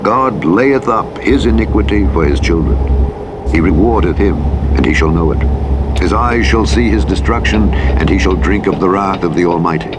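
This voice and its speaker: Deep-toned male narrator, solemn Bible reading, deliberate phrasing, measured drama, heavy vehicle noise behind. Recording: played over loud truck engine rumble. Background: loud vehicle noise from a truck driving game.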